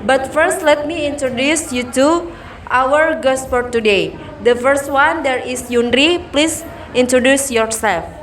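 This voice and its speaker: A woman's voice: high voice, unhurried, a little hiss or hum. A young woman hosting a student talk show, reading her lines in a plain scripted way.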